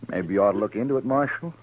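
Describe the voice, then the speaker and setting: A man's voice: on the low side, breathy edge, muffled recording, full character voice. A man playing an insolent, mocking town drifter who draws out his words in a 1950s radio western. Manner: drawn-out, mocking. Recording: noisy old radio recording.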